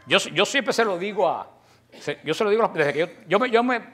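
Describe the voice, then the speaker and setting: A man's voice: lively intonation, fairly quick, soft background music. Fiery Cuban man preaching to the faithful. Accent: Cuban Spanish. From Cuba. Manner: forceful, preachy, emphatic with sudden drops to a low voice, joking asides. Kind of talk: impassioned religious talk.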